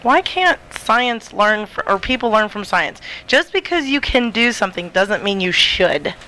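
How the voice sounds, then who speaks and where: A woman's voice: medium pitch, expressive, a little slow, sharp tone, low-quality recording. A woman bantering casually as a studio co-host, quippy and teasing. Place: radio studio.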